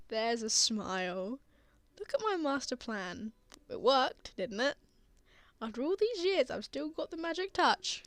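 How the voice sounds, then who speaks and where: A woman playing a gentle, reassuring father who comforts a frightened child in a tender ASMR roleplay. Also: high voice, big pitch swings, slow, long pauses, no room sound, heavily acted. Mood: playful, teasing. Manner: soothing, tender, earnest.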